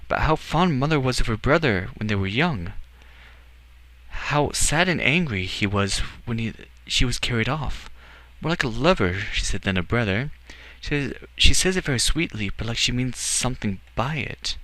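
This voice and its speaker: A man's voice: mid-range voice, expressive, moderate pace, sharp tone, no echo, voice pushed up, poor audio. A man voicing an anxious, earnest boy, hesitant yet defiant, in a storybook reading. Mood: hesitant, hurt. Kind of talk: audiobook character acting.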